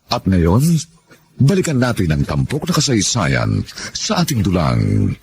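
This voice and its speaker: Filipino guy radio drama announcer, low creaky voice, slow and super dramatic. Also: wildly swooping pitch.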